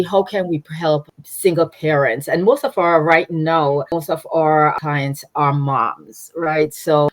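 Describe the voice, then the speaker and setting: Low-voiced Jamaican woman, thoughtful. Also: dry recording. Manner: warm, reflective, many fillers and restarts, laughs easily. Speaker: guest, nonprofit founder. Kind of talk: conversational interview.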